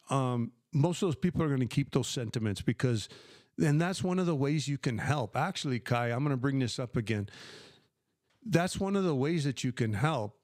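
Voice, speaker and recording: Smooth-voiced American man, retired host. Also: medium pitch, a little mellow, no echo, clean studio sound. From United States. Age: older. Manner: conversational, many fillers, answers viewer questions by name. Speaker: live stream host. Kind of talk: casual live stream Q&A.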